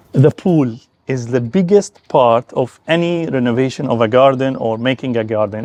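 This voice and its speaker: A man's voice: fairly high pitch, darkish tone, no echo. A man from Syria with a Syrian Arabic accent speaks enthusiastically and conversationally, explaining things as host of an informal garden and villa tour.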